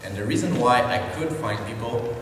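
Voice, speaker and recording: A man's voice: mid-range voice, lively intonation, fairly quick, a bit bright, slight background noise. A young man with a French accent, earnestly telling his story as an indie studio founder and sometimes searching for words. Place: large convention hall. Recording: echoey convention hall from far off.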